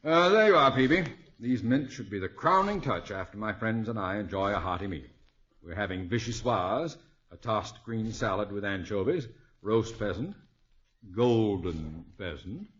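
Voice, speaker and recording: A dull vintage radio recording of a pompous, wealthy stuffed shirt. The voice is fairly low, clipped and cold, with a boastful air. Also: male voice, expressive, normal speed, fairly bright tone. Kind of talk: 1950s radio comedy acting. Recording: muffled vintage 1950s radio broadcast.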